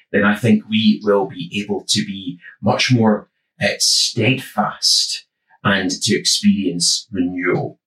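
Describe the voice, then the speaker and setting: A man from the west of Scotland with a West of Scotland accent, giving a gentle, reflective devotional talk with many small ums and uhs. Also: on the low side, reverberant, far from the mic, rough recording. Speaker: church minister.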